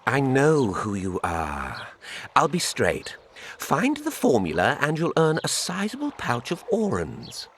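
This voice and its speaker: An actor playing a sly, cautious schemer in a video game, polite and calculating in a theatrical way. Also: male voice, medium pitch, huge pitch swings, a little slow, fairly bright tone, slight background noise. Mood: persuasive. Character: sly, cautious male schemer after a secret formula.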